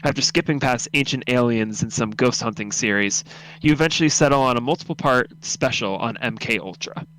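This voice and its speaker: A man's voice: mid-range voice, a little fast, fairly bright tone, poor audio. A man reading scripted second-person story narration for a radio drama.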